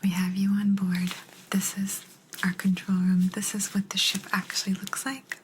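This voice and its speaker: A woman playing a gentle, patient alien abductor in a soft, breathy ASMR whisper, confiding closely in the listener. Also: medium pitch, flat delivery, moderate pace, a little hiss or hum, natural voice. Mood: calm, explaining. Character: gentle, apologetic female alien abductor explaining a simulation.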